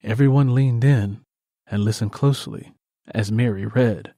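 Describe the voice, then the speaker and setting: A man's voice: fairly low pitch, measured pace, mellow, no echo, clean studio sound. A man giving scripted narration of a story as an audiobook narrator.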